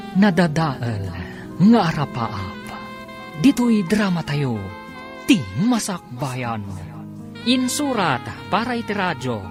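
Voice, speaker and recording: A man with an Ilocano accent announcing a radio drama in scripted narration with credits. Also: fairly high pitch.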